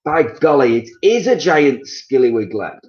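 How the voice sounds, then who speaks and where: A man's voice: medium pitch, sing-song, measured pace, a little mellow, lo-fi sound, voice pushed up. Man doing a nasty, mischievous storybook husband. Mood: gleeful menace.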